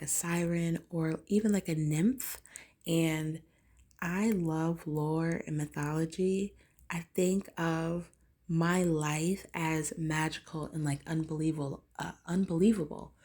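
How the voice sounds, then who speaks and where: Low-voiced raspy woman rambling casually. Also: steady tone, a little slow, a little mellow. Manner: rambling, filler-heavy, loose and conversational. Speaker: vlogger. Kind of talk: casual talking-head monologue.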